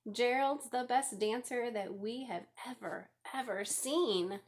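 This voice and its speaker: A woman voicing excitable jungle animals in a children's storybook, exclaiming loudly. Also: on the high side, takes it slowly. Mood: amazed. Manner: loud, exclaiming.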